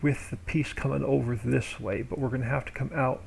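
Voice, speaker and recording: Gravelly-voiced male streamer, relaxed and rambling, talking himself through his work at a home desk mic. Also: mid-range voice, fairly quick, slight background noise. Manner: thinks aloud while working, relaxed and rambling. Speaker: live streamer building a game map. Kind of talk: casual live-stream commentary.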